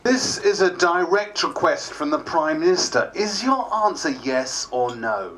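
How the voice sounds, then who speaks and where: A man playing a brisk, pushy political spin doctor, insistent and clipped, in dramatised dialogue. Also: a little high, animated, fairly bright tone. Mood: pressing.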